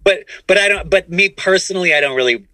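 A man talking casually as a comedian guest on a podcast, animated and often restarting his phrases. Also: high voice, quick pace, no echo. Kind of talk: casual conversational interview answers.

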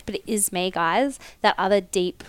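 An Australian woman co-hosting a podcast, husky from a chest infection, bantering playfully. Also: medium pitch, moderate pace, a bit bright. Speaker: podcast co-host. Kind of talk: casual chat.

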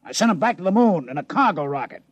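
An actor playing a brash, tough casino boss in a vintage radio drama, blunt and slangy. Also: male voice, sits high, sing-song, quick pace, husky, dry recording, heavily acted. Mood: menacing. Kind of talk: 1950s radio drama acting.

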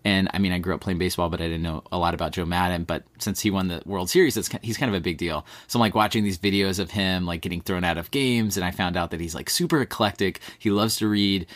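A man from East Texas with an East Texas accent, talking in a relaxed, rambling way as a podcast host being interviewed. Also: deep voice, fast, hardly any pauses, dry recording. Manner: relaxed, rambling, many fillers like "like". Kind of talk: casual conversational interview.

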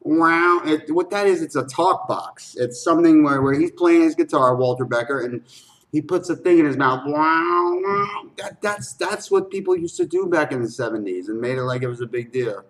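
A man's voice: mid-range voice, lively intonation, brisk. A man hosting a reaction video with casual, slangy commentary, often repeating phrases for emphasis.

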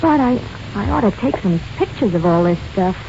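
An actress playing a breezy young small-town reporter in a 1940s radio drama. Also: female voice, mid-range voice, lively intonation, normal speed, mellow, lightly acted. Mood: casual. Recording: muffled, hissy old radio recording.

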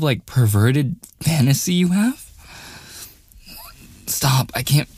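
A man's voice: medium pitch, darkish tone, slightly rough, dry recording. A man playing a cocky young student bully who sneers and gets flustered, in a boyfriend ASMR audio roleplay. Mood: flustered, defiant. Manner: sarcastic, taunting, hesitant stammering under pressure.

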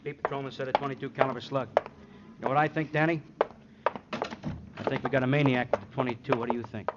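An American actor playing a blunt, eager police sergeant sidekick in a vintage radio crime drama, punchy and repetitive, with folksy cop talk. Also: male voice, medium pitch, steady tone, a little fast, heavy background noise, natural voice. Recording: muffled old radio recording with music.

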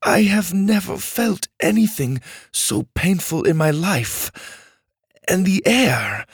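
A man's voice: fairly high pitch, wildly swooping pitch, slow, a bit bright, breathy edge, no room sound, studio quality, pitched above the performer's own voice, exaggerated voice. A man voicing a suffering, impatient girl from underground in a children's story, with strained delivery. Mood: breathless, relieved. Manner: strained, laboured.

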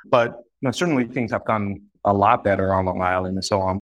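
A man from northeast China in his thirties, speaking as a guest in a relaxed interview. His voice sits a little low, and he talks in an earnest, reflective way, often doubling words as he restarts a thought. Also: quick pace. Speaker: guest, company founder. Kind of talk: casual interview conversation.

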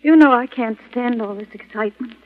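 A woman's voice: a little high, brisk, natural voice. An actress playing a frail, trusting, anxious young woman in old radio drama style. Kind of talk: 1940s radio acting. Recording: thin, phone-like old radio recording.

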